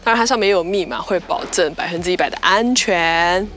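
A woman's voice: fairly low pitch, a little fast, a bit bright. A young woman giving a chatty, upbeat tour of a rental house and its backyard cabins, slipping English words into her talk. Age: young adult. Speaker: vlogger. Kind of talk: casual house-tour vlog. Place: rooms of a house and a wooded backyard.

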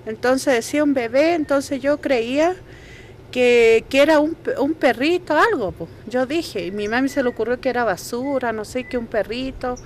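A Chilean woman in her thirties answers a street interview into a handheld mic. Her voice is high and her telling plain and hesitant. Faint outdoor noise sits underneath. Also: normal speed. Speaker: neighbor and witness. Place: outdoors by a building. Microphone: handheld reporter mic.

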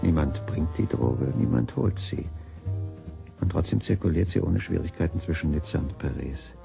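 A man's voice: deep voice, expressive, normal speed, creaky, over music, full character voice. An actor playing a brooding, bewildered man in a German radio crime drama. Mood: brooding, reasoning. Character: brooding, bewildered man unravelling his lover's secret.

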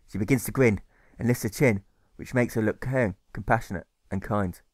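A man narrating a rhyming audiobook in a measured, rhythmic manner that stresses the rhymes. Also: lowish voice, no room sound, studio quality. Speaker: author reading his audiobook.

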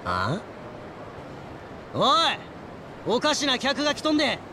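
ゲームのカットシーンで、関西弁で凄む横柄な下っ端ヤクザを演じる男性俳優。. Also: male voice, very high voice, huge pitch swings, slow, background noise, full character voice. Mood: hostile, mocking. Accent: Kansai. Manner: snarling, mocking, aggressive. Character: brash, bullying low-ranking yakuza thug. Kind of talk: video game cutscene acting.

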